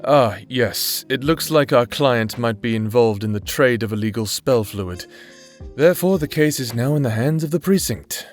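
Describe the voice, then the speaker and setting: A man playing a sardonic, world-weary private investigator in a fantasy noir audio drama, with a dry, formal, deadpan delivery. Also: medium pitch, normal speed, lightly acted. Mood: dry, unbothered. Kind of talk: noir audio drama acting with scripted monologue. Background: background music.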